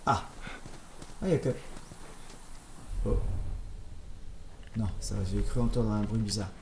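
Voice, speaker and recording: A man's voice: fairly low pitch, measured pace, a little hiss or hum. A man casually commenting on his gameplay, chatty and playful, with jokey asides to his viewers. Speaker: let's play host. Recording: roomy sound with loud game music.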